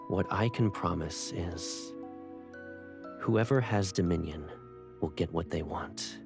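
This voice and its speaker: Game role: gentle young man with a teasing streak, low register, music clearly underneath. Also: takes it slowly, full character voice. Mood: calm, earnest. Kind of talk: video game dialogue acting. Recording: music behind the voice. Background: background music.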